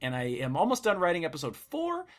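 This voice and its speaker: A man's voice: high-pitched, huge pitch swings, fast talker. A young man hosting a casual podcast chat, rambling with fillers and laughing often. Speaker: podcast host.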